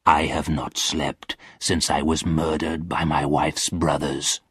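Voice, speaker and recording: Man voicing a very deep, husky old ghost. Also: fairly bright tone, no room sound, full character voice. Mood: weary, pleading. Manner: slow, weary, solemn delivery. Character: lonely, sorrowful old male ghost, centuries old.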